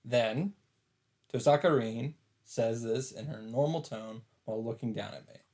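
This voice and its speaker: A man's voice: medium pitch, unhurried, a little mellow. A man reading visual novel text aloud as casual narration, dropping in his own asides.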